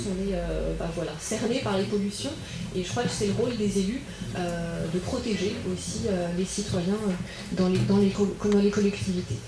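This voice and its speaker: A woman speaking as an invited regional councillor at a public political meeting, in halting phrases with many filled pauses. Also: medium pitch. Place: indoor public meeting room. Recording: roomy echo, audible background noise.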